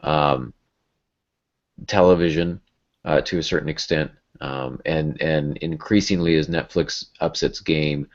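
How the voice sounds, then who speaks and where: Very deep-voiced man on a casual podcast. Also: fairly even intonation, measured pace. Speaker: podcast host and writing teacher. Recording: dull lo-fi podcast audio.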